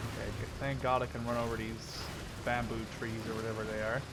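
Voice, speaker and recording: A man's voice: medium pitch, level tone, a little fast. A man in his twenties giving casual, chatty, playful gameplay commentary, often thinking aloud as he plays. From Canada-based, Dutch. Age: 20s. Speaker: gaming video host. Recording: vehicle and game noise behind voice. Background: tank game sounds.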